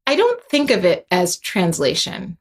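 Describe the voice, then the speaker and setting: A woman's voice: fairly low pitch, wildly swooping pitch, measured pace, breathy edge. A young adult woman, a composer guest, speaking thoughtfully with many fillers and restarts. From Haitian heritage. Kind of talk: reflective interview talk.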